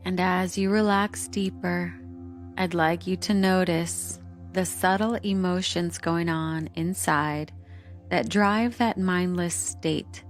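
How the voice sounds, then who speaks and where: A woman narrating a scripted guided meditation in a soothing, hypnotic delivery, with measured instructions and lingering pauses. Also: on the low side. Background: calming meditation music.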